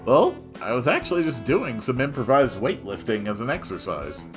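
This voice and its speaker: A man reading a story aloud in an expressive narrating style. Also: medium pitch, moderate pace, over music.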